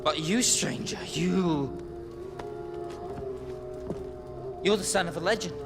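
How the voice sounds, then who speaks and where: Excitable, high-pitched dopey fake seer. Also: male voice, normal speed, over music. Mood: mock-mystical. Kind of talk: video game cutscene acting.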